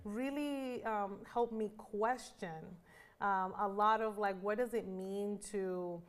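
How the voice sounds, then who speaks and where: A woman's voice: medium pitch, slow pace. A woman in her thirties from the Dominican Republic, a life coach answering interview questions with enthusiasm and reflection, with some "um" and "like" along the way. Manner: enthusiastic, reflective, frequent fillers.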